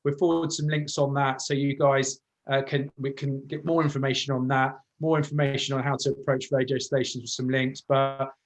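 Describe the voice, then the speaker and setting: A man's voice: medium pitch, little pitch movement, a little fast, hardly any pauses. A man informally hosting an online class in an effusive, chatty way. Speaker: host of music masterclass.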